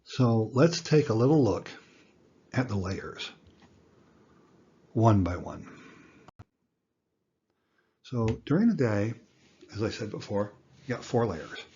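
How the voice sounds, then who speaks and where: A man in his sixties giving an educational lecture in plain words, with a deliberate, folksy manner. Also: lowish voice, big pitch swings, warm tone, husky, highs cut off. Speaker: instructor.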